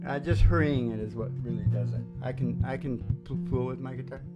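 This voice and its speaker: A woman's voice: a bass voice, fairly even intonation, fairly quick, muffled recording, loud music behind the voice. A woman hosting a show with casual, rambling banter, philosophizing and joking in a colloquial way. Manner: philosophizing, jokey, colloquial with swearing. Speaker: radio show host and musician.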